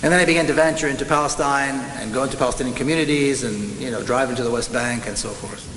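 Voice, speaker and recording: A middle-aged man with an Israeli accent giving an impassioned conference speech, slowing down to hammer home key words. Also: medium pitch, fairly bright tone. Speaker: speaker at a conference. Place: large conference hall. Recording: echoey hall with faint hiss.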